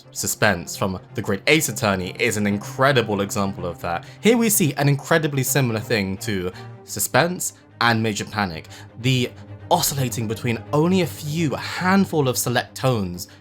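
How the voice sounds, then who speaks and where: Animated video essay narrator, music underneath. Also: medium pitch. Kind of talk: scripted video essay narration with casual asides.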